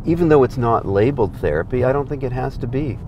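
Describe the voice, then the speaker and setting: A man's voice: medium pitch, animated, fast, warm tone, dull audio, slight background noise. Earnest man talking in a car. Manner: earnest, explanatory, laughs easily. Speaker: guest, comedy program founder. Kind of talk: conversational interview. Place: inside a moving car.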